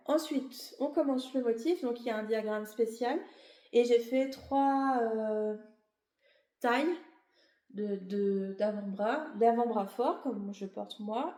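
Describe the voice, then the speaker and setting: A woman's voice: on the high side, warm tone, reverberant. A woman with a cold, a little tired, explaining her knitting in a chatty, rambling way, full of fillers and self-corrections. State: has a cold; a bit tired. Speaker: knitting vlogger. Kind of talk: casual show-and-tell explanation.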